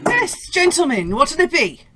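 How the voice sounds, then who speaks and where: A woman acts out a cheerful, chatty older shop woman in a radio drama. Her voice is high and sharp-edged. It bounces up and down as she talks. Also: huge pitch swings, a little slow, soft background music, heavily acted. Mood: chatty, obliging. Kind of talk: old-time radio drama acting.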